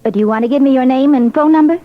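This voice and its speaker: High-voiced policewoman in old radio drama, played by an actress. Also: rapid-fire, natural voice. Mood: composed. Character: brave policewoman acting as decoy, anxious but composed. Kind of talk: 1940s radio drama acting. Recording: dull, hissy old radio recording.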